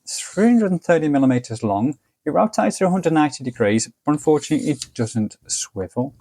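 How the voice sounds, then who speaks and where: A man's voice: fairly high pitch, lively intonation, a little fast, dark tone. A man presenting a casual product review, explaining details in a methodical, matter-of-fact way. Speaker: product reviewer and channel host.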